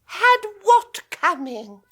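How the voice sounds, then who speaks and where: An actress playing a timid, genteel older spinster sister who speaks hesitantly in a radio drama. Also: female voice, very high voice, very animated, drawn-out words, a bit bright, exaggerated voice. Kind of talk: 1980s radio drama acting.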